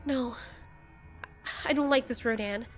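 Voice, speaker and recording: A woman's voice: fairly high pitch, unhurried, soft background music, natural voice. A woman voicing an earnest, anxious female giant moth monster who pleads and exclaims, in an audio story. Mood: troubled.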